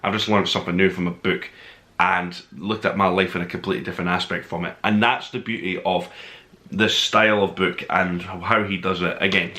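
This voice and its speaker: A man's voice: deep voice, flat delivery, a little fast, bright, forceful tone. A man hosting an informal book review podcast, speaking in a gushing, emphatic way and leaning on key words.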